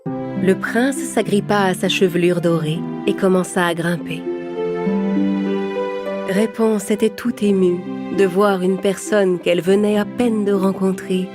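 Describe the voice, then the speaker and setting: A woman's voice: medium pitch, steady tone, measured pace. A woman storyteller reading a scripted fairy tale for children. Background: music.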